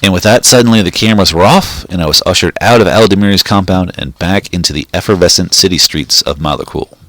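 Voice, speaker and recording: A man reading a short story aloud in a steady, measured way, with the odd small slip. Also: deep voice, no echo. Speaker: reader.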